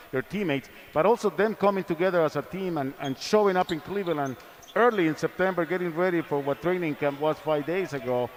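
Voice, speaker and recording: A man with a slightly high voice hosts a live sports broadcast. He speaks effusively, repeating words for emphasis as he sets up questions for his guests. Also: a little hiss or hum. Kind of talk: live interview hosting. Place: large indoor arena, live event.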